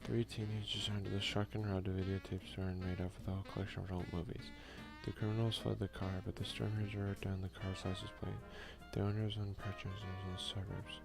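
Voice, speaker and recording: Low creaky guy doing a chill asmr lets play, slangy little mutters, game music playing behind him. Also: little pitch movement, fairly quick, few pauses, low-quality recording. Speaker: gaming video host. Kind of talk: casual let's play commentary in ASMR style, partly reading game text aloud.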